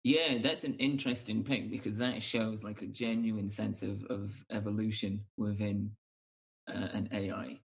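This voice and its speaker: A man's voice: fairly low pitch, flat delivery, normal speed. Man hosting a casual film chat. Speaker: podcast host.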